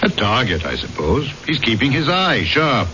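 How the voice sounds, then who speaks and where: Wry, reassuring magistrate, animated intonation, crisp bright tone, old radio play with dull hissy sound. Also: male voice, mid-range voice, normal speed. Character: urbane, wry male police magistrate, calm and reassuring. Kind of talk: 1970s radio drama acting. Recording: dull, noisy vintage radio broadcast.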